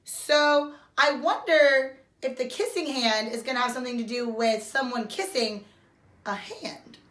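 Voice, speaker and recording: A woman's voice: high-pitched, measured pace, a bit bright. A woman teacher doing a read-aloud lesson for young children, explaining simply and addressing them directly. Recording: roomy echo.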